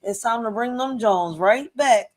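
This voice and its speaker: Woman, 30s, reaction host; slangy, excitable, fairly high pitch. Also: unhurried. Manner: slangy, excitable, repeats herself. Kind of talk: casual reaction commentary.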